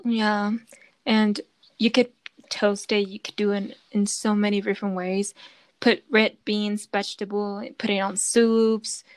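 A woman's voice: medium pitch, flat delivery, measured pace, dry recording. A woman hosting a casual podcast chat, explaining at length with lots of fillers and restarts. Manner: chatty, explaining with many fillers. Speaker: podcast host.

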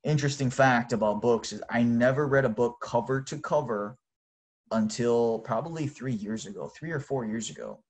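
A man's voice: medium pitch, normal speed. A man whose native language is Japanese, chatting casually to his listeners in an informal, exclamatory way. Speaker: host.

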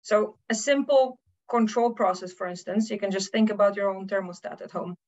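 A woman's voice: medium pitch, brisk, a little mellow. A woman guest on a podcast explaining technical things conversationally, often checking in with the listener. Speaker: podcast guest, OT security specialist. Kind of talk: casual explanatory chat. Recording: dull lo-fi audio.

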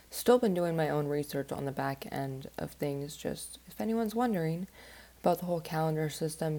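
A woman talks through her astrology podcast in a loose, rambling way, full of little fillers. Her voice sits low and stays fairly level, and her nose sounds stuffed up from a recent flu. The tone is mellow rather than bright. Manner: rambling and chatty, with many "like" fillers. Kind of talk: casual podcast monologue.

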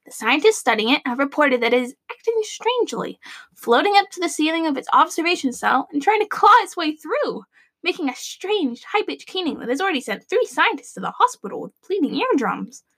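Woman playing a high, animated radio announcer. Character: chirpy, flustered government radio announcer of a dystopian town. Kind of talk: scripted comic audio drama, mock radio broadcast.

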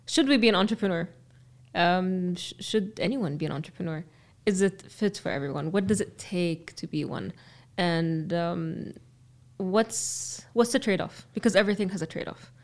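A woman's voice: fairly low pitch, moderate pace. A woman hosting a podcast, chatting casually and asking many questions. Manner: friendly, asks many questions. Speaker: podcast host. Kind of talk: casual interview chat.

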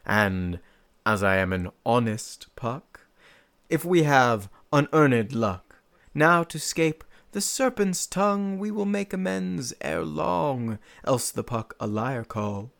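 A man's voice: medium pitch, animated, creaky, a bit bright, heavily acted. A man playing a mischievous fairy sprite, eerie and coaxing, in theatrical Shakespearean verse for a radio play. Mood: coaxing, confiding. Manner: theatrical, deliberate, dramatic swings.

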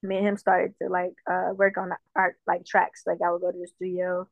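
Low-voiced woman, dull dry recording. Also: a little fast, gravelly, no echo. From Jamaica. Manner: relaxed, many "like" fillers. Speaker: musician guest. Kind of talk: casual interview.

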